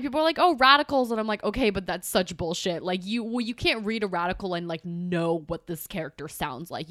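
A woman's voice: mid-range voice, fairly bright tone, dry recording, clean studio sound. A woman in her twenties whose mother tongue is Chinese, chatting loosely as a podcast guest with frequent swearing and rambling asides. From grew up with Chinese. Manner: rambling, profane, laughing asides. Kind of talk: casual conversation.

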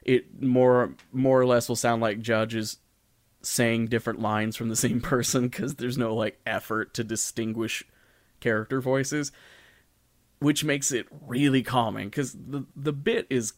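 A man's voice: medium pitch, flat delivery, measured pace, no room sound. A man co-hosting a podcast, chatting casually about things. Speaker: podcast co-host.

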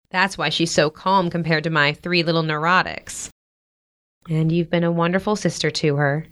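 A woman acting in a modern audio drama as a tender, reassuring middle-aged mother, speaking gently and earnestly. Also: lowish voice, natural voice.

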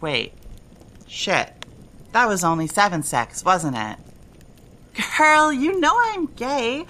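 A woman's voice: mid-range voice, sing-song, takes it slowly, sharp tone, full character voice. A woman playing a laid-back, tipsy young woman who talks in teasing slang in an audio drama, over a noisy background. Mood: tipsy, playful. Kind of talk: fan audio drama dialogue.

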